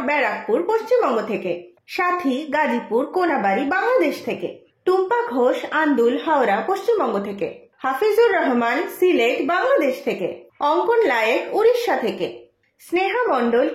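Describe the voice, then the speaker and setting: A woman's voice: sits high, roomy sound. A woman reading a scripted announcement to cartoon viewers.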